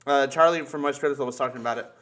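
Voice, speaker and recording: A man chatting casually as a podcast host, excitable and halting, swearing freely. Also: medium pitch. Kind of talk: casual, profane group chat.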